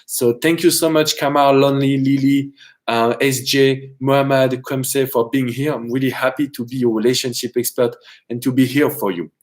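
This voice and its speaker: A man's voice: medium pitch, steady tone, moderate pace. A man with a strong French accent, a relationship coach giving advice on a live stream and greeting viewers by name.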